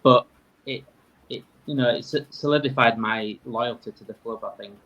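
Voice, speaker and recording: A man's voice: medium pitch, fairly even intonation, normal speed, fairly bright tone, lo-fi sound. Yorkshire man chatting casually. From Yorkshire, England. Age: 30s. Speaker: podcast guest, fan podcaster. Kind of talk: casual conversation.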